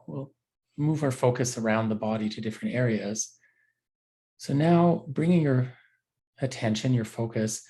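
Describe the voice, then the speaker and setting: A man teaching a guided body scan meditation, giving gentle, unhurried instructions with frequent pauses. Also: mid-range voice.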